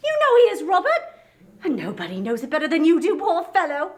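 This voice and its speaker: Woman as indignant, high-pitched Victorian wife. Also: wildly swooping pitch, a little fast. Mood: indignant scorn. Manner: scornful, emphatic, sarcastic. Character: Mrs Cratchit, sharp-tongued, indignant Victorian wife and mother. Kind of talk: dramatic reading of a Victorian story.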